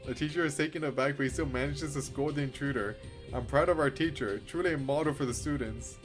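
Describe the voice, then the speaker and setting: A man with an average-pitched voice reads a game's story aloud in an expressive, theatrical way. He slips into casual, sweary commentary as a streamer does. Music plays behind him. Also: quick pace. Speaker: streamer reading a visual novel aloud. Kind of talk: dramatic read-aloud mixed with casual live reactions.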